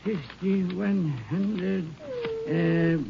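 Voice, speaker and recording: High-voiced, querulous folksy rancher. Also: male voice, drawn-out words, vocal fry, full character voice. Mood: irritated. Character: fussy, stubborn rancher counting money, robbed at gunpoint. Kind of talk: 1950s radio drama acting. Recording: muffled old radio recording, crowd-like background noise.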